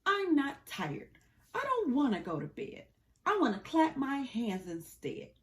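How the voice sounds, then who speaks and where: Lady doing a grumpy toad from a kids book, insistent and kiddish, high bouncy voice. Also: huge pitch swings, measured pace, exaggerated voice. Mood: restless, defiant. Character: grumpy male toad, restless and stubborn.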